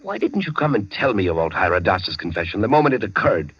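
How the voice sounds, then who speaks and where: An actor playing a desperate, brooding condemned prisoner in 1940s radio suspense style, theatrical and intense. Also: male voice, on the low side, very animated, fast, slightly rough, no echo. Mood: indignant. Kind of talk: 1940s American radio suspense drama acting. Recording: dull vintage radio recording, faint music.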